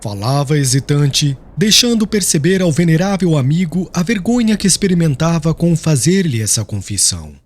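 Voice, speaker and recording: Expressive male audiobook narrator. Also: a little high, moderate pace, hardly any pauses. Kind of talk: scripted storybook narration.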